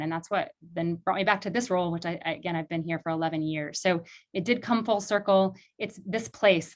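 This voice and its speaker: A woman from Illinois who directs a law clinic, speaking earnestly and reflectively on a video call, with many fillers. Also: fairly low pitch. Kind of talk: virtual panel talk.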